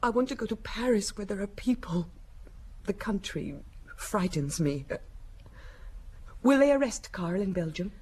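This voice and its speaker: A woman's voice: medium pitch, animated, measured pace, pauses often, a little mellow. Anxious, swooping-voiced young woman. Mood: frightened, wistful. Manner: hesitant, breathy, overwrought. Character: anxious, fragile young sister of a missing man. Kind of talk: radio drama acting.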